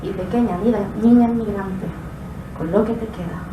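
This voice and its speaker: A woman's voice: medium pitch, moderate pace. A woman in her twenties performing a spoken word poem at a slam with rhythmic, impassioned recitation. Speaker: slam poet. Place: live poetry slam event indoors. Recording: echoey room, distant mic, background noise.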